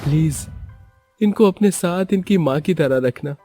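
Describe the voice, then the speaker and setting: Man acting a mellow, gentle middle-aged husband. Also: a little high, a little slow, breathy edge, faint music behind. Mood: pleading.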